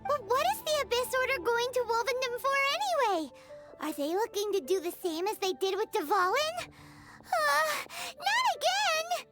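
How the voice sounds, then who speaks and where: A woman voicing a small, excitable fairy girl in a video game, with a squeaky childlike voice, over loud music. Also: moderate pace. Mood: eager, worried. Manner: exclamatory, refers to self by name. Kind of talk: anime-style video game voice acting.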